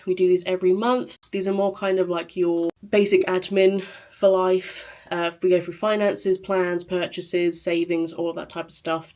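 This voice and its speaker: A woman with a sore throat and a scratchy, quiet voice, chatting casually to camera in a rambling vlog. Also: fairly low pitch. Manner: chatty, rambling, lots of fillers. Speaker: lifestyle vlogger.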